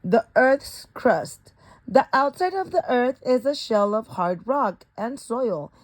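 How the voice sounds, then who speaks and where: A woman's voice: fairly high pitch, expressive, slow, poor audio. A woman reading a children's picture book aloud expressively, with the occasional stumble over a word. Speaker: storybook reader.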